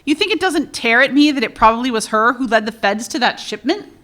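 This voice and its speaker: A woman voicing a skeptical business-minded smuggler in a radio play, with dry, sarcastic comebacks. Her bright voice rises and falls widely in pitch. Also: lively intonation, fairly quick. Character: dry, practical female smuggling partner, skeptical. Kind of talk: comic radio play acting.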